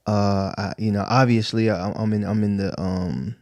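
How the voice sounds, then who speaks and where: A man's voice: on the low side, steady tone, normal speed, a little mellow, dry recording. A man talking as a podcast guest in a laid-back, easygoing way, dropping "you know" and "man" often. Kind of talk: casual interview chat.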